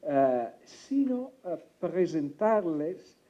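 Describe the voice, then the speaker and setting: Italian man in non-native Spanish, slow and halting, mellow tone, dull lo-fi audio. Presenter from a think tank. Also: a little high, very animated. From Italy. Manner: halting, searching for words. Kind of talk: presentation talk.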